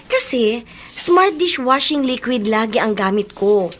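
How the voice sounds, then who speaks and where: An actress playing a devoted, affectionate young wife in a Filipino radio drama, expressive and homely in manner. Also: female voice, on the high side, a little slow.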